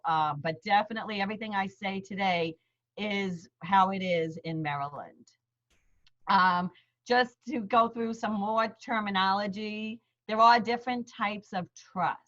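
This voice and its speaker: A woman's voice: mid-range voice, a bit bright. A middle-aged woman with a New York accent from Queens, an attorney explaining legal terms step by step in a friendly, plain-spoken seminar over a video call. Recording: slightly dull lo-fi video call audio.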